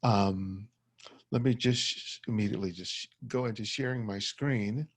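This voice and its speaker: A man's voice: on the low side, moderate pace, husky, no echo. A middle-aged man with a slight stutter who often repeats words, giving a personal talk as a guest over a video call. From United States. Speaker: guest photographer. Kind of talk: personal talk at an online forum.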